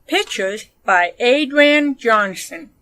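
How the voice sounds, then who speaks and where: A woman narrating a children's story expressively, with deliberate, dramatic phrasing. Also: on the high side, animated, bright, forceful tone. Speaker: storybook reader.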